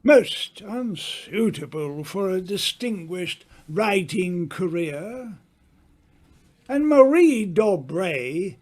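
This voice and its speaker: Man acting worldly older writer, old-time radio mystery, high-pitched for a man, lively intonation, grandiose, teasing, self-assured. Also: full character voice. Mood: dramatic, teasing. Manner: grandiloquent, deliberate, dramatic pauses.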